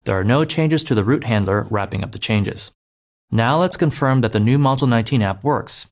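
A man of ordinary pitch narrates a programming walkthrough. His delivery is clear, even and instructional, like a scripted voice-over for a tutorial. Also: a little fast. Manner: clear, steady step-by-step instruction. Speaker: developer advocate. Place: voice-over over screen recordings.

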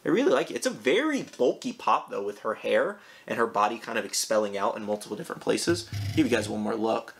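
A young man with a hoarse, raspy voice from a sore throat, chatting casually as he unboxes a collectible toy in his home room. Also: on the low side, lively intonation, fast talker, hardly any pauses, faint music behind. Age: young adult. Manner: chatty, rambling, eager.

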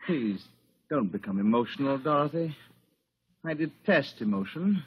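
A man's voice: medium pitch, animated, normal speed, husky. An actor playing a cold, self-assured, supercilious wealthy young man in a 1940s radio drama, clipped and polite. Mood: cool, disdainful. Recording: dull old radio broadcast.

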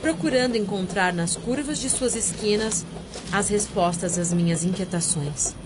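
An actress playing a brooding, free-spirited Amazonian sorceress, narrating an audio drama in a measured, literary manner. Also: female voice, mid-range voice, very noisy, close to an everyday voice. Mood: solemn, mysterious.

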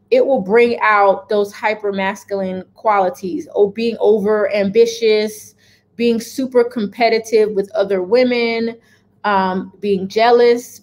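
A woman's voice: medium pitch, a little mellow. Direct, emphatic woman vlogging from her kitchen. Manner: direct, emphatic, checks in with "okay?". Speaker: lifestyle vlogger. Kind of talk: casual opinion chat to camera. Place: home kitchen, indoors.

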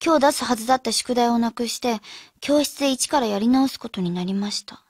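An actress playing an earnest, determined high school girl in a radio drama. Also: a little fast, heavy background noise, close to an everyday voice.

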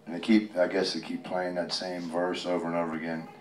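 Low-voiced man in an echoey room. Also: flat delivery, a little fast. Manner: chatty, folksy, rambling asides to listeners. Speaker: guest storyteller, tribute performer. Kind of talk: reading a picture book aloud with casual ad-libbed commentary. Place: public library reading event. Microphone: handheld microphone. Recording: roomy, echoey, distant microphone pickup.

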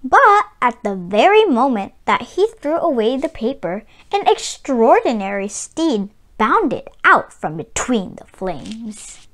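A woman reading a children's picture book aloud, with expressive, deliberate phrasing. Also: fairly high pitch. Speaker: storyteller and read-aloud channel host.

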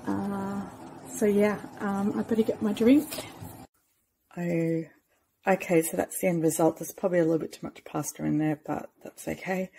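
A woman chats her way through a recipe in a home kitchen, filming on a handheld phone. Her pitch sits in the middle, her talk is loose and full of fillers, and she sometimes drops almost to a whisper. A faint hiss of kitchen ambience runs underneath. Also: rough recording. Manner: chatty, trailing fillers, sometimes soft and near-whispered. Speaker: cooking vlogger. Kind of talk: casual cooking commentary. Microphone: handheld phone camera.

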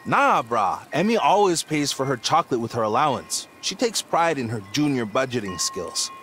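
A man's voice: medium pitch, big pitch swings, normal speed, a bit bright, faint music behind. A man voicing a laid-back young surfer dude shopkeeper with slangy, easygoing talk in a game. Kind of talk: scripted game dialogue.

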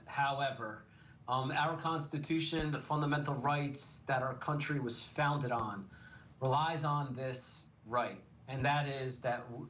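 A man's voice: medium pitch, a bit bright, poor audio. Earnest middle-aged male defense attorney. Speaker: criminal defense attorney, guest. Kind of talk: TV legal commentary.

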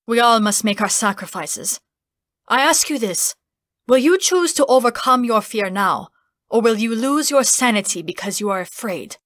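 A woman's voice: on the high side, expressive, normal speed, fairly bright tone, no room sound, studio quality. A woman voicing a solemn, firm mentor of a fantasy order in an audiobook. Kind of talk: fantasy audiobook character acting.

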